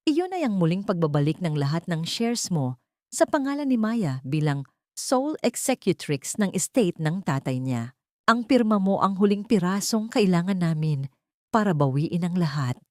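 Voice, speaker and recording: A composed, loyal male lawyer in an audio drama, voiced by a woman. He is measured and assured, with a lowish pitch and expressive melody. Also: dry recording, clean studio sound. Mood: triumphant.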